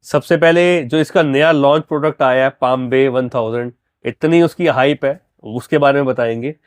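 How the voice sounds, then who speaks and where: A man's voice: mid-range voice, moderate pace, over music. A man explaining products conversationally as a dealer and installer. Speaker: car audio dealer and installer.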